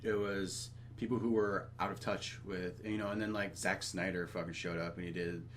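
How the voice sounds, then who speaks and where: A man chatting casually as a podcast co-host, halting and repeating himself, with some swearing. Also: sits low, steady tone. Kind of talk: casual chat. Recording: clearly audible hum and noise.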